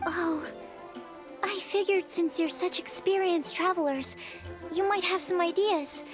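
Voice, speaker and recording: A woman's voice: extremely high pitch, measured pace. A woman playing a shy, earnest young alchemist in a video game, with polite, precise, scholarly phrasing. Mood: explaining patiently. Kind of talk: video game character voice acting. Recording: background music under the voice.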